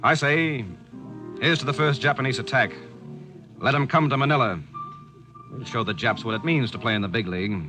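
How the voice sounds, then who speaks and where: Guy acting breezy american war reporter, fast talker, lowish voice, old radio play w music under. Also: natural voice. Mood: cheerful, bullish. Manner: hearty, slangy. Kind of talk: 1940s radio drama acting.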